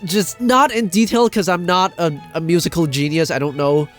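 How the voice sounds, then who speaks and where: A man's voice: a little high, normal speed, faint music behind. A man giving a casual ranting commentary as a video host, exasperated, emphatic and sweary, often repeating himself for stress.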